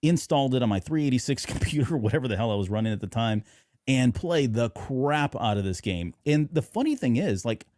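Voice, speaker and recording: A man's voice: medium pitch, expressive, fairly quick, darkish tone, dry recording. A middle-aged man hosting a casual fan podcast, chatting in a rambling, conversational way. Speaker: podcast host.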